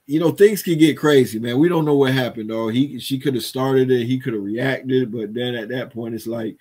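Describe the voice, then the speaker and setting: A man's voice: mid-range voice, normal speed, warm tone. A man in his thirties co-hosting a commentary show, chatting off the cuff in slangy phrases over a headset on a video call from home. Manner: slangy, often adds "you feel me" and "man". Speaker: co-host of a news commentary show. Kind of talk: casual unscripted chat.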